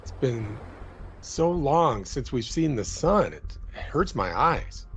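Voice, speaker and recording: An actor playing a level-headed, doubtful sailor in a radio drama, speaking in a measured, dramatic way. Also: male voice, mid-range voice, sing-song, slight background noise. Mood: puzzled, uneasy.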